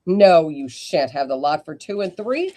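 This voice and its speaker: Woman playing a brash old street auctioneer. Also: big pitch swings. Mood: hawking. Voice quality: loud patter with rising, coaxing pitch. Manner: fast salesman's patter, teasing the crowd.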